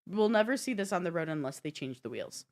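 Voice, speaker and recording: A woman's voice: lowish voice, lively intonation, quick pace. A woman co-hosting a casual tech and games chat, speaking informally and thinking aloud with some slang. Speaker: podcast co-host.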